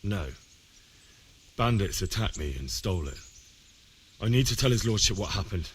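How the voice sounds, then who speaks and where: Earnest low-voiced young peasant lad. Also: male voice, animated, moderate pace, a bit bright, slight background noise. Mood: determined. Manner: plain, sincere. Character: earnest, determined young blacksmith's son, grieving. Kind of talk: medieval video game cutscene acting.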